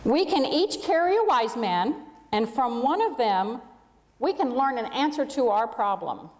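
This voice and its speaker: Earnest, eager storybook camel, played by a woman, high and emphatic, echoing church acoustic. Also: animated, a little slow. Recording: echoey hall with long reverb.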